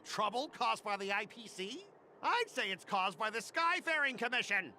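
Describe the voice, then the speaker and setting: Game role: irate male cargo worker, piercingly bright, animated delivery, emphatic and blustering. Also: high-pitched, normal speed, slight background noise, heavily acted. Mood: indignant. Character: irate, stubborn male cargo-company worker, loud complainer. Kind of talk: video game voice acting.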